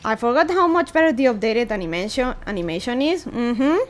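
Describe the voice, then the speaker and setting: High-pitched, lively woman game streamer. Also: brisk. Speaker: streamer reading a game story aloud. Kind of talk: casual game stream commentary and read-aloud. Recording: music behind the voice. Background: faint background music.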